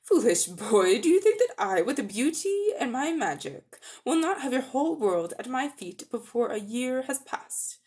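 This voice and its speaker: A woman's voice: sits high, big pitch swings, normal speed, few pauses, a little mellow, pitched above the performer's own voice. A woman voicing a haughty, towering evil sorceress queen in a storybook, grand, commanding and contemptuous. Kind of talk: dramatic storybook reading.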